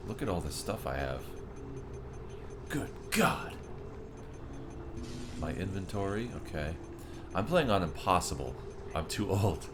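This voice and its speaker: A man's voice: low voice, sing-song, unhurried, pauses often, background noise. A man in his thirties chatting casually with viewers on a live game stream and reading their messages aloud. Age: 30s. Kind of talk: casual live-stream chat while gaming.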